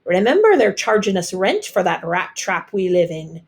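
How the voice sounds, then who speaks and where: A woman's voice: medium pitch, big pitch swings, normal speed. A woman voicing a worldly-wise, scoffing Irish immigrant housemaid in a storybook, emphatic and knowing. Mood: scornful, knowing.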